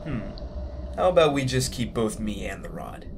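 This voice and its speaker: A man playing a sharp, decisive fugitive ex-officer in a sci-fi audio drama, speaking in a clipped, matter-of-fact way. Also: mid-range voice, very animated, normal speed, creaky, over music. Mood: defiant.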